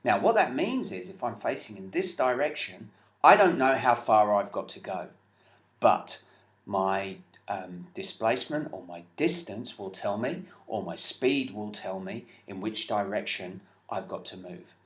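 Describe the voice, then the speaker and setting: A man's voice: on the low side, animated, a little slow. A man teaching a science lesson in an explanatory video, patiently walking through each step with frequent fillers.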